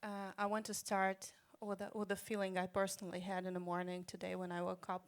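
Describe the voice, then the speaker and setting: A woman from Ukraine, an English speaker and government minister, answering on a panel in a measured, earnest way with hesitant uhs. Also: medium pitch, completely flat delivery, clear, resonant tone.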